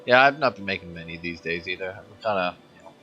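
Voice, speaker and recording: A man's voice: low voice, animated, fast, lo-fi sound, music in the background. A man streaming a space game, chatting casually in a laid-back, agreeable way. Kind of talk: casual gameplay stream chat.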